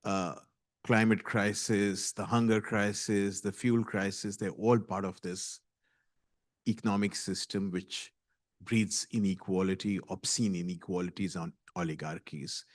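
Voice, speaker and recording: A man with a lowish voice speaks on a conference panel. He is deliberate and puts weight on his points. The sound is dry and close. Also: steady tone, no echo. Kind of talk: panel discussion remarks.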